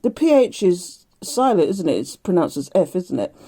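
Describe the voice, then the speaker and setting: A middle-aged woman a croaky voice giving a casual daily tarot reading, chatty and laughing often. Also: lowish voice, sing-song, moderate pace, mellow. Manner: chatty, laughs often, reads aloud from a card booklet. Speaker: tarot reader.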